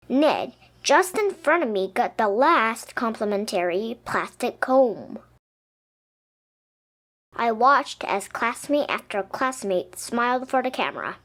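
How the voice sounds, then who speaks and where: A woman reading a children's picture book aloud expressively, with dramatic, emphatic pauses. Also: on the high side, fairly bright tone. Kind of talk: expressive children's storybook narration. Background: music.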